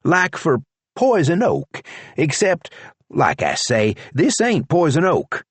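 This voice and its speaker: A man voicing a nervous, stubborn young man with a pistol, who talks in a high, strained rural way. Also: wildly swooping pitch, slow pace, breathy edge, dry recording, heavily acted. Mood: defensive.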